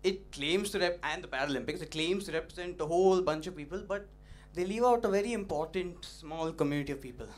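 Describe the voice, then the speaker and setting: Young comedian, punchy delivery. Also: male voice, on the high side, quick pace, few pauses. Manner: punchy, animated, teasing the audience. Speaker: stand-up comedian. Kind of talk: stand-up comedy set. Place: comedy club stage. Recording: noisy live club recording.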